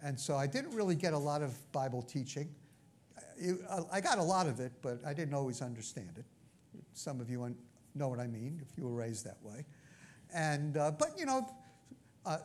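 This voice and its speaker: A male preacher with a Brooklyn accent, speaking in a slightly higher register than most men. He teaches through scripture in a loose, conversational way. He often stops to check in with his listeners. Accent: New York (Brooklyn). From Brooklyn, New York. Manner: conversational, frequent asides and check-ins. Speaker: pastor. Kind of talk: sermon teaching.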